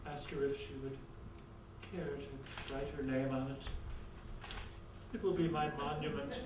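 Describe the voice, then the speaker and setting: Grandiose male theater producer, played by a man, hammy and scheming, slow delivery, distant stage mic. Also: mid-range voice, little pitch movement, lightly acted. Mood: feigned dying. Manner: hammy, drawn-out pleading. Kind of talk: staged reader's theatre comedy. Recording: roomy stage recording from afar, faint noise.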